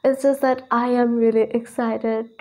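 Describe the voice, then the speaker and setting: A woman in her twenties hosting a casual reaction video, gushing and excited, hesitating and repeating words for emphasis. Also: fairly high pitch, measured pace. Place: small plain room indoors.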